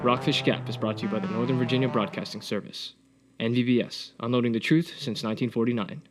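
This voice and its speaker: Quick male broadcast announcer played by a man. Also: medium pitch, fairly even intonation, over loud music, close to an everyday voice. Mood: brisk. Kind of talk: scripted sponsor announcement.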